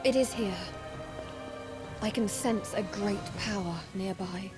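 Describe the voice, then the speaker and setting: A woman's voice: medium pitch, slow pace, lightly acted. A woman playing a dutiful young Valkyrie warrior in a video game, speaking in formal, stern, earnest phrases. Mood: serious, puzzled. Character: solemn, dutiful young female Valkyrie warrior serving a god. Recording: music under the voice.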